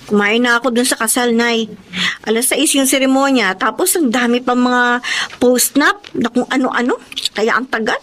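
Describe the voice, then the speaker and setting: A woman with a Filipino accent playing a hardworking, blunt and teasing young sister in an expressive radio drama style. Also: on the high side, normal speed, lightly acted. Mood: nagging.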